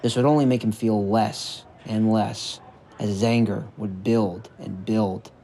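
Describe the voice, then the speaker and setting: A man reading a scripted story narration in a measured, earnest way. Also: fairly low pitch, steady tone, dark tone, a little hiss or hum. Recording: music bed under the narration. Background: background music.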